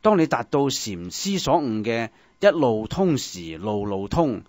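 A man with a Hong Kong Cantonese accent delivers scripted Buddhist narration in a measured, expressive way, as if reciting verse. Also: medium pitch, no room sound, clean studio sound.

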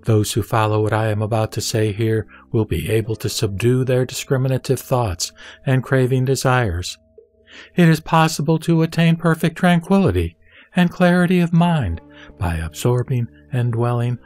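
A man playing the Buddha, a wise, composed spiritual teacher reciting sutra teachings in a solemn, measured, instructive manner. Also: medium pitch, darkish tone, faint music behind, close to an everyday voice. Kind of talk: scripted sutra audio drama recitation.

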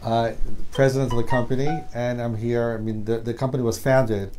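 A man's voice: medium pitch, little pitch movement, mellow, soft background music. Hesitant middle-aged businessman guest. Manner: hesitant, many fillers, measured. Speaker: company president being interviewed. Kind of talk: recounting his story in an interview. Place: small TV talk show studio, indoors.

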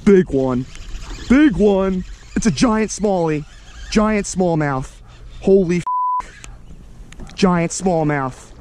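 Mellow-voiced American guy vlogging from a kayak. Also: sits high, slow pace, long pauses, a little hiss or hum. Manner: excitable, slangy, talks to viewers as "guys". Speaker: fishing vlogger. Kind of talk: casual running commentary to camera. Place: kayak on a lake, outdoors. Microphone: action camera mounted on the kayak.

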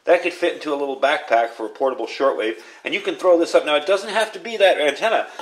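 A man's voice: on the high side, fast talker, low-quality recording. A man giving a casual, hands-on product review, explaining things informally and without a script. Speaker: radio reviewer. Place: indoors at a workbench. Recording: clear background noise, room ambience.

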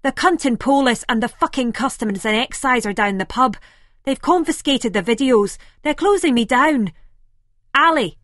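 A woman's voice: medium pitch, measured pace, husky, dry recording, studio quality. A woman reading an audiobook, voicing a panicking, swearing Scottish man with a Scottish accent. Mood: furious. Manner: shouting, swearing, frantic.

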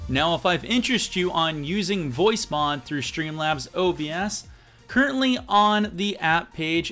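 A man in his thirties with a fairly high voice hosts a software tutorial. His delivery is casual and upbeat, like an online presenter walking viewers through each step. Music plays behind him. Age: 30s. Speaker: YouTube tutorial host. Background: background music.

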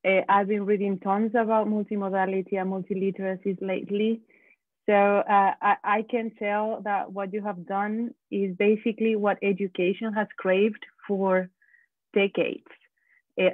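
A woman's voice: medium pitch, dry recording. A woman commenting on a video call, earnest, with frequent fillers and restarts. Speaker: webinar attendee. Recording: dry, phone-like video call audio.

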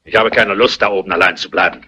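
An actor playing a cantankerous, stubborn old family patriarch in a crime film, grumbling in a raspy voice. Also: male voice, sits low, fast, pushed and bright, highs cut off, full character voice. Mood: querulous. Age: older. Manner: grumbling, querulous. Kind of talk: German dubbed crime film dialogue.

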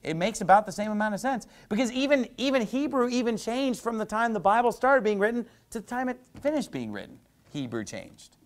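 Pastor, thirties, man, slightly high voice, animated conversational sermon on a lapel mic. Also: a little fast. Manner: animated, gesturing, conversational preaching with asides. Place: small church stage with painted backdrop, indoors.

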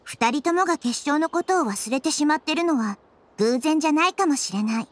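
A woman playing a gentle, wise child-like nature deity in an anime game, speaking softly and thoughtfully. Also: high voice, animated, a little fast. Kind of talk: Japanese anime game voice acting. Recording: background music under the voice.

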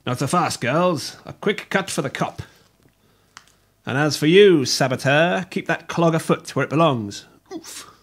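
A man's voice: fairly high pitch, lively intonation, unhurried. A man voicing a stern, officious village policeman who talks in a pompous, scolding way.

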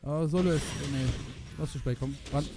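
A man giving casual, excitable live gameplay commentary, often repeating phrases and swearing. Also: on the high side, a little fast. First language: Luxembourgish. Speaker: gamer recording a let's play. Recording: clear game noise behind. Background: video game sounds.

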